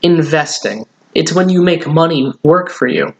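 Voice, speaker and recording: A man's voice: fairly high pitch, expressive, normal speed, muffled recording, voice pushed up. A man voicing a firm, sensible, exasperated mother who scolds matter-of-factly in a storybook reading. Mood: explaining.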